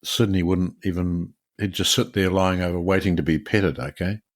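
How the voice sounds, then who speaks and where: Co-host: Texan man, very deep voice, dry humor. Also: steady tone, normal speed.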